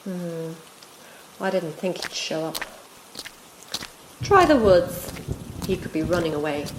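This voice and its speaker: A woman's voice: on the low side, animated, slow. A woman acting an eager, dramatic field spy in an amateur radio drama. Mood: intent, excited. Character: eager female field spy hunting a target. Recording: echoey amateur recording, faint noise.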